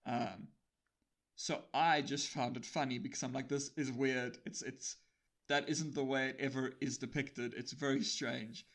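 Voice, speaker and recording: A man's voice: medium pitch, fairly even intonation, a little slow, creaky, fairly bright tone. A man from Africa, interviewing a guest in a casual, chatty conversation. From South Africa.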